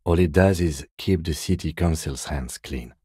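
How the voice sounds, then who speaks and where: An actor playing a composed, dry police detective in a video game, speaking in a measured, restrained, matter-of-fact way with a soft husky edge. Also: male voice, a bass voice, no echo, exaggerated voice. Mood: calm, analytical. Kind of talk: video game dialogue acting.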